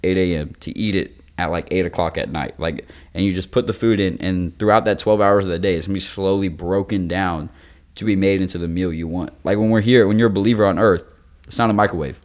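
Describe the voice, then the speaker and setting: A man in his twenties with a very low-pitched voice, speaking on a young adult ministry podcast. He teaches in a loose, conversational style, using youthful slang and everyday examples to explain things. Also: fast talker. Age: 20s. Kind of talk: casual faith discussion with teaching.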